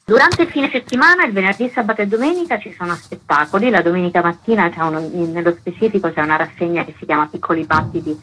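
A woman's voice: fairly low pitch, fast, hardly any pauses, fairly bright tone, slight background noise, low-quality recording. A woman speaking spontaneously as a guest in a radio interview, as a festival's artistic director.

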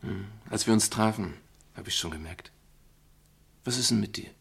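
An actor playing a concerned, tender male companion who asks questions softly and intimately in a German radio play. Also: fairly low pitch, moderate pace, creaky, slightly rough, heavily acted.

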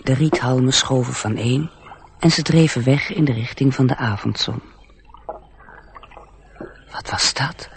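A male storyteller in a radio play, gentle and earnest. The voice creaks with fry and confides straight in the listener, over faint background noise. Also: medium pitch. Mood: wondering. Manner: confiding, addresses the listener directly. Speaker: narrator.

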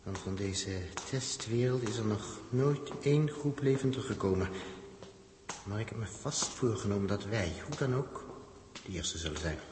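Male space traveller actor: curious, commanding, very animated intonation, sixties radio drama with audible noise. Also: lowish voice, normal speed, roomy sound, big-room sound, lightly acted. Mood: grave, determined. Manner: colloquial, interjecting, urgent, repeating words. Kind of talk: 1960s Dutch radio drama acting. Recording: noisy old 1960s radio recording.

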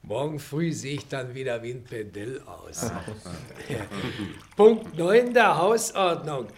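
A man's voice: medium pitch, big pitch swings, takes it slowly. An actor playing a chatty, officious school caretaker in a radio play, folksy and wry as he recites the rules. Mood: jovial, officious. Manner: folksy, hesitant storytelling mixed with formal recital of rules.

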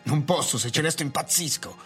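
An actor playing an anxious, homebound doctor in a radio drama, with a hesitant, stammering delivery. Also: male voice, a little high, brisk, a bit bright, husky. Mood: anxious, shaken. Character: middle-aged doctor, anxious, homebound gentleman of future. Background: music.

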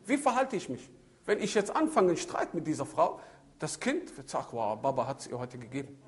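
A man, middle-aged, preaching a religious sermon in an animated storytelling way, acting out dialogues, repeating himself and asking rhetorical questions, with Arabic phrases mixed in. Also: on the high side, a little fast. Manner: code-switching into Arabic phrases. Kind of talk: religious sermon on raising children.

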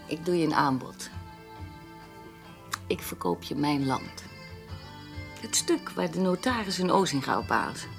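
A woman's voice: low-pitched, very animated, unhurried, gravelly, long pauses, faint music behind, heavily acted. An actress playing a frank, persuasive country woman in a radio play, confiding and insistent in plain words. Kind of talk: naturalistic radio play dialogue.

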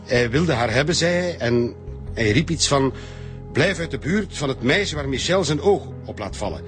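An actor playing an evasive, flustered Flemish boss in a radio crime drama, answering questions haltingly. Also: male voice, medium pitch, animated, a bit bright, soft background music, natural voice. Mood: flustered, recounting. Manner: hesitant, halting.

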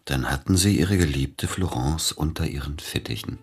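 An actor playing a courteous, probing celebrated pianist in a radio play, with a husky voice and a polite, measured delivery. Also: male voice, extremely low pitch, exaggerated voice. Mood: sceptical, probing. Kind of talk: German radio play acting.

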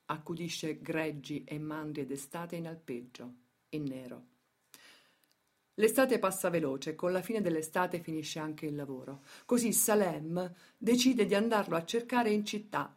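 A woman acting as a grave storyteller in radio theatre, with measured phrasing and dramatic emphasis. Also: sits low. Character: grave female storyteller recounting workers' tragedies. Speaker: stage and radio actress.

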